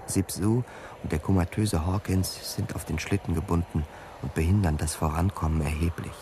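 Deep-voiced man narrating over noisy ambience. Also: steady tone, moderate pace. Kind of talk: dramatic scripted literary narration. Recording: clear ambient noise behind studio narration. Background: ambient noise.

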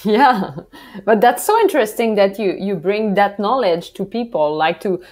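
A woman's voice: mid-range voice, lively intonation, normal speed, a little mellow. A woman in her thirties hosting a casual interview, smiling and enthusiastic as she talks. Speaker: podcast host. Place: indoors, video call. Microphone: wired earphone mic.

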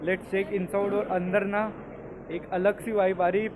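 A young man vlogging in excited casual from the stands of a cricket stadium, mixing in English phrases, with his phone held at arm's length. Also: sits high, slow pace. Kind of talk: casual vlog commentary. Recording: phone audio with loud crowd noise. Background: cheering crowd and music.

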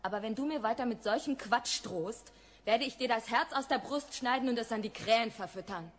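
A woman playing a sharp-tongued, scornful actress and pagan queen in a radio play. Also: on the high side, brisk, slight background noise, lightly acted. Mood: imperious, bitter. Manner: scornful, blunt. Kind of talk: German radio drama acting.

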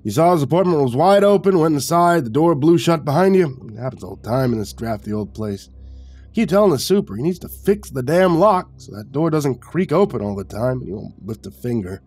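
A man's voice: medium pitch, animated, moderate pace, a little mellow, husky, soft background music, voice pushed up. A man playing a chatty, chuckling retired man who rambles in a folksy way in a horror story. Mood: amused, dismissive. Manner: rambling, conversational, snorting amusement.

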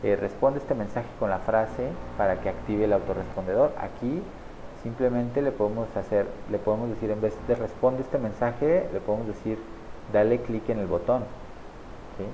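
A man in his thirties casually walking viewers through a software setup on screen, checking in with them often as he clicks along. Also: on the low side, moderate pace, dull audio, a little hiss or hum. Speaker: online marketing tutor. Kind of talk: screen-share software tutorial. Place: small indoor room at a desk.

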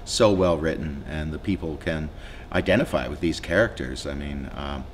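Middle aged actor guy chatting in an interview, really low voice, bit of background noise, pauses a lot. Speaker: stage actor. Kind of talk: promotional interview. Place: indoor theatre set.

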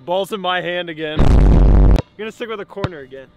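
A young man chatting casually outdoors during a basketball game, self-deprecating and excitable. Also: high-pitched, brisk, muffled recording. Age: young adult. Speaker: vlog co-host. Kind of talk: casual competitive banter. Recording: loud music under the voice.

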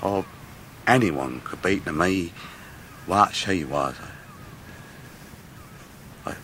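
An actor playing a humble, heartbroken young fisherman in a radio drama, halting and earnest. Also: deep voice, lively intonation, sharp tone, slight background noise, heavily acted. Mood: sorrowful, pleading. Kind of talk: 1990s radio drama acting.

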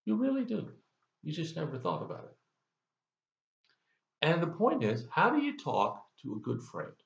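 A man's voice: on the high side, wildly swooping pitch, normal speed, creaky, roomy sound, highs cut off. An older man giving a folksy, conversational devotional as a pastor, asking the listener questions, recorded on a webcam in his office. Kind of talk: devotional sermon. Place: office, indoors, seated in leather chair.

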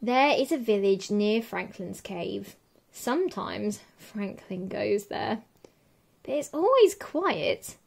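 A woman with a fairly high voice reads a children's story aloud. Her pace is slow and measured, with expressive phrasing suited to a picture book. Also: creaky. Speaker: teacher reading picture books.

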